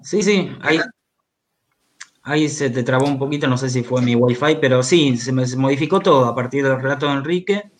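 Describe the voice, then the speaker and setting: A man's voice: medium pitch, fairly quick. Hesitant Argentine man in an interview. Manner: hesitant, repeating words as he goes. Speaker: interviewed author, journalist and biographer. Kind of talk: conversational remote interview. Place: remote video call.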